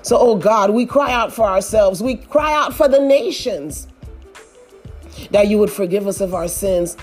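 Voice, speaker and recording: A woman leading a fervent, pleading prayer, repeating her appeals and rising to shouts. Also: mid-range voice, animated, unhurried, faint music behind. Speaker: prayer leader.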